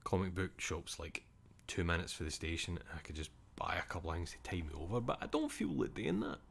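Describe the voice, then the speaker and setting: Young Scottish male streamer, very low voice, loose rambling chat with casual swearing. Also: sing-song, brisk, vocal fry, fairly bright tone, breathy edge.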